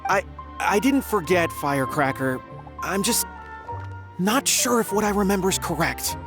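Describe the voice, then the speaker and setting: A man's voice: sits high, lively intonation, normal speed, music in the background. A man voicing a hesitant, wry frog in a video game, mildly defensive in manner. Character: hesitant, wry male paper frog singer.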